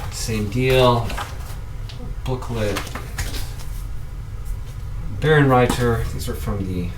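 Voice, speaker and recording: A man talks casually through his finds in his own video, rambling and restarting his sentences. His voice sits at a middle pitch and sounds a little away from the microphone. A faint hiss lingers underneath. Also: extremely slow, distant mic. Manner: rambling, chatty, with many ums and restarts. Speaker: vlog host showing records. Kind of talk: casual show-and-tell. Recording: voice a bit off-mic, faint background noise.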